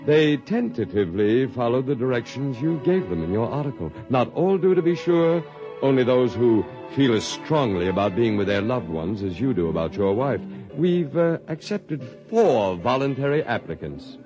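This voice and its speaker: An actor playing a calm otherworldly male alien who runs a hospital, speaking in a measured, politely condescending way in a 1950s radio drama. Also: mid-range voice, natural voice. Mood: calm, assured. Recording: dull 1950s radio broadcast with music.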